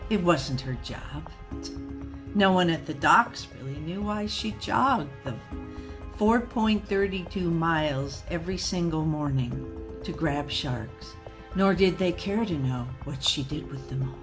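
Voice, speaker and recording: A woman storyteller with a slightly low voice takes her time over a comic tale. Her delivery is deliberate and mock-serious, pausing for drama, with music playing faintly behind her.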